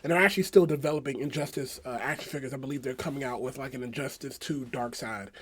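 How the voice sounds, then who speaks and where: A man with a hoarse voice from a cold, talking casually through a toy review with lots of fillers. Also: medium pitch, quick pace, fairly bright tone. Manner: rambling, many fillers and restarts. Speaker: toy collector vlogger.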